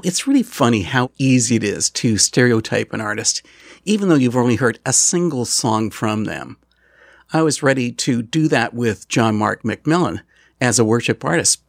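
A male host with a gravelly, fry-tinged voice introduces his show and poses questions in a measured, articulate manner. His mid-range voice sits close and dry, with no room around it. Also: medium pitch, no echo.

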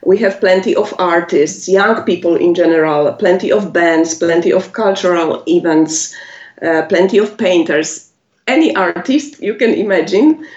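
A woman with a Polish accent, a native Polish speaker, answering interview questions in halting English with many pauses and laughs. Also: lowish voice, darkish tone. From Poland. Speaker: musician guest. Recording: echoey, muffled lo-fi audio.

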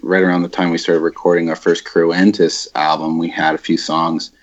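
A man speaking casually in an interview about his band, in a laid-back, unhurried way. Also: deep voice, flat delivery, dull audio. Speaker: band guitarist and vocalist.

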